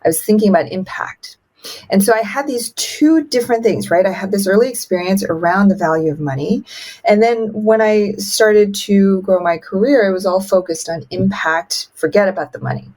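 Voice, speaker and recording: A woman's voice: medium pitch, moderate pace, darkish tone. A woman in her thirties, raised in China with Mandarin as her first language, telling her life story as a podcast guest, acting out quotes with big jumps in her voice.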